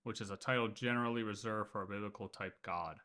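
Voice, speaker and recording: A man's voice: on the low side, fast, pushed and bright. A man livestreaming casual reaction commentary in an informal, slangy way.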